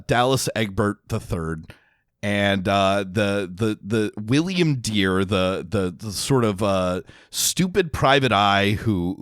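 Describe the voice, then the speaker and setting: A man co-hosting a podcast in casual review chat, explaining things in a wry, halting way. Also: lowish voice, no echo, studio quality. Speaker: podcast co-host.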